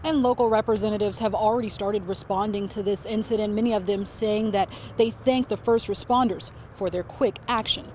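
A woman's voice: medium pitch, fairly quick, hardly any pauses, a little hiss or hum. Female field reporter outdoors. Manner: clear, steady reporting. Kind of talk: news report. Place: outdoors at a factory.

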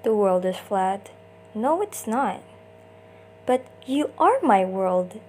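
A woman's voice: medium pitch, sing-song, takes it slowly, a little mellow, slight background noise. A woman doing an amateur comic dub, acting out short character lines theatrically in switching voices.

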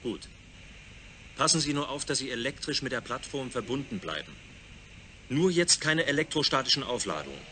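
A man's voice: mid-range voice, expressive, normal speed, pauses often, pushed and bright, slight background noise. An actor playing a composed, exacting male ground controller giving matter-of-fact instructions in a radio play.

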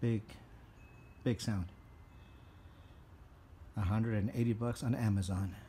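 A man reviews a product casually in a mellow, relaxed voice. He speaks very slowly and plainly, pausing as he goes. Faint ambient vehicle noise sits behind him. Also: on the low side. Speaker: customer reviewing motorcycle mufflers.

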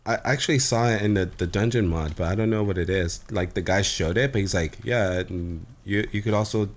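Very deep raspy man, sick. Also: a little fast. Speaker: co-host of a Minecraft series. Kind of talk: casual gameplay chat. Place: home room, indoors. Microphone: headset.

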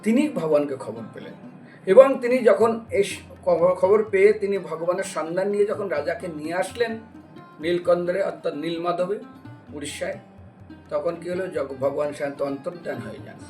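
A man's voice: sits high, moderate pace. A man giving a devotional lecture as a story, acting out dialogue and putting questions to his listeners. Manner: animated retelling with acted dialogue and questions to listeners. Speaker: religious preacher and storyteller. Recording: distant mic, music behind the voice.

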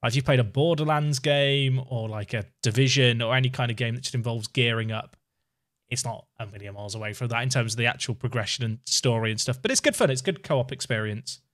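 A man in his thirties talks to his livestream while playing a game. His voice sits at an average pitch, and his delivery is casual and conversational, like chatting with mates from a small room at home. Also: fast, a bit bright. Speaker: game streamer. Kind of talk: casual livestream gaming chat and commentary.

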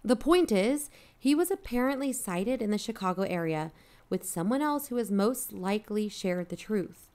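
A woman's voice: medium pitch, animated, moderate pace, darkish tone, natural voice. A woman playing an inquisitive, matter-of-fact podcast investigator in a mock-documentary audio drama.

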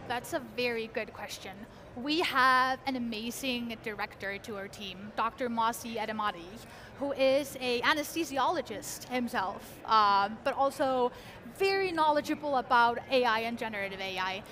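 A young woman with an Icelandic accent explains her work eagerly and clearly. Her voice sits high and light. She is recorded on a lapel mic at a busy convention hall booth. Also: moderate pace, bright, forceful tone. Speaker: guest, research engineer. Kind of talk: conversational interview.

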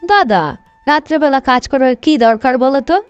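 Curt young sister acted by a woman, cartoon; high female voice, dull lo-fi track. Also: animated, brisk, no room sound, soft background music. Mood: questioning. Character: young village sister, curt and self-concerned. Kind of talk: Bengali moral-story cartoon acting.

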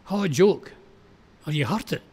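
A man's voice: a little high, animated, measured pace, a little mellow, slightly rough. A wounded Scottish soldier of the Great War, played by a man reciting verse, speaks in a raised voice. He is plucky and dogged, exclaiming his lines with urgency in dramatic poetry. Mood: pained, stoic.

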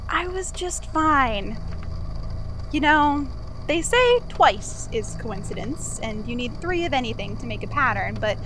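A woman voicing a cheerful, accident-prone male archival assistant in an audio drama, chatty and wry with thoughtful pauses. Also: sits high, big pitch swings, background noise. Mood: wry, reflective. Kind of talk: confessional monologue in an audio drama.

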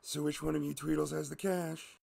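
A man's voice: fairly high pitch, level tone, brisk, vocal fry, a little mellow. A man voicing a tough, bored, sarcastic woman pilot with a curt, bossy manner in an audio story. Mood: sardonic, bossy.

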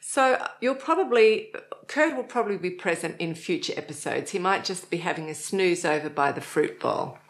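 A woman's voice: fairly low pitch, expressive, moderate pace. A middle-aged woman hosting a knitting show from a living-room sofa, chatty and cheerful, laughing often. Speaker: host.

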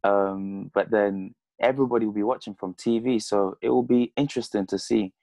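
A man's voice: lowish voice, fairly even intonation, fairly quick, dark tone, no echo. A man in his twenties from Congo and the UK, a French speaker, chatting casually in an interview over a video call. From Congo, Zambia and the UK. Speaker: boxer, interview guest. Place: indoors, plain room at home. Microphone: earphones on a video call.

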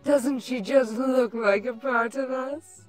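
A woman's voice: high voice, takes it slowly, faint music behind, low-quality recording. A woman voicing a lovestruck, doting ancient changeling queen villain in a cartoon story, dreamy and gushing. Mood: dreamy, adoring. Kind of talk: cartoon fan fiction voice acting.

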